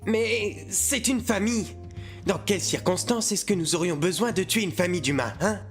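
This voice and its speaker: A man playing an anxious, prim, conscientious demon assassin who protests earnestly in an animated comedy fan dub. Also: high voice, moderate pace. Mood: worried protest. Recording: music behind the voice.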